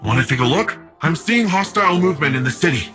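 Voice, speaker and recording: A man's voice: on the high side, expressive, fast, fairly bright tone, faint music behind. An actor playing a big, cheerful, cocky young male city defender in a video game, with a breezy, slangy, eager and friendly manner. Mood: businesslike.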